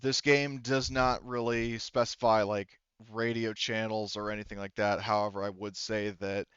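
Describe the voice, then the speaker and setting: A man working as game master of a tabletop roleplaying game, narrating casually and haltingly, correcting himself as he explains on a headset. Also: lowish voice, level tone, fairly bright tone, clear, resonant tone, no room sound, dull audio.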